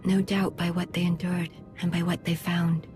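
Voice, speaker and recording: An actress playing a grave, composed leader of a mystic order in a video game, with formal, reserved phrasing. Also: female voice, fairly low pitch, flat delivery, normal speed, darkish tone, faint music behind, natural voice. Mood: solemn, troubled. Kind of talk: scripted game dialogue.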